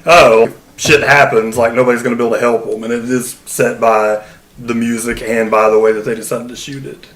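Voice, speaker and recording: A man's voice: medium pitch, steady tone, a little fast, fairly bright tone, reverberant, a little hiss or hum. A man co-hosting a podcast, chatting eagerly and saying "like" a lot. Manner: enthusiastic, fills talk with "like". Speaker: podcast co-host. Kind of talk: casual chat.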